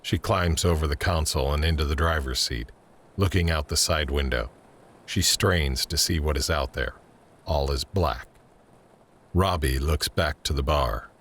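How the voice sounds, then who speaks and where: Really deep guy narrating an audio drama script, scene by scene. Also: a little slow, fairly bright tone.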